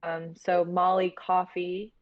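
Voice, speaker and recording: Woman on a podcast talking shop, kinda low voice, frank, bit dull lo-fi audio. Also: completely flat delivery, slow. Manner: candid, casually profane. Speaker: guest, horror filmmaker. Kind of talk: casual interview chat.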